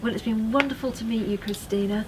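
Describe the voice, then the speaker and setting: A woman's voice: on the high side, fairly even intonation, fast, noisy background. A woman interviewing a writer at a literary festival, asking polite questions and encouraging with frequent murmurs of agreement. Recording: noticeable background ambience.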